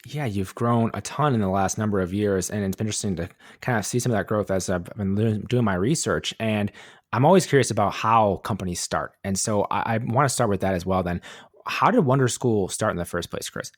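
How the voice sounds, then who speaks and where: A man's voice: on the low side, fairly quick. A man hosting a podcast, reading a sponsor message and then chatting upbeat with his guest. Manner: upbeat, reads sponsor copy then chats. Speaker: podcast host. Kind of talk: podcast interview and ad read.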